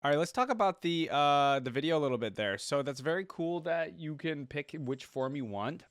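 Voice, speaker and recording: A man's voice: a little high, expressive, normal speed, clear, resonant tone, no echo, studio quality. A man giving casual, curious reaction commentary as the host of a video, often interjecting short remarks.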